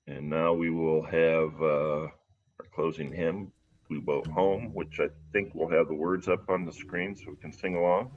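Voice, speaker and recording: A man's voice: very deep voice, fairly even intonation, unhurried, phone-quality sound. A man leading a livestreamed church service, reading and making announcements.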